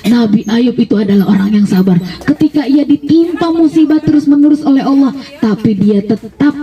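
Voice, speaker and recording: A woman voicing a pious, patient old man in a storytelling performance, slow and devout with drawn-out exclamations. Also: on the high side, lively intonation, warm tone. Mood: earnest, devout. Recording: dull, distant microphone pickup.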